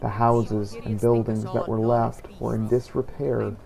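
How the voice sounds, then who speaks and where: A man's voice: medium pitch, level tone, measured pace, vocal fry, mellow. A man narrating a scripted first-person fantasy roleplay story for a game playthrough.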